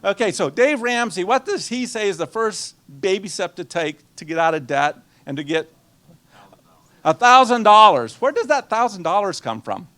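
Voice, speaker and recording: A man's voice: high voice, big pitch swings, normal speed, bright, forceful tone. A man in his sixties, from Guatemala and a native Spanish speaker, giving a conversational finance seminar, quizzing his audience and slipping in dry jokes. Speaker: nonprofit finance presenter.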